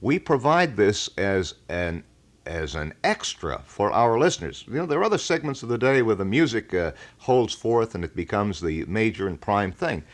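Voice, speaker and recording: A man's voice: on the low side, very animated, normal speed. A man hosting a call-in show in casual, wordy, folksy announcer style, as on a 1980 local radio broadcast. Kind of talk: casual live radio chat. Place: radio studio.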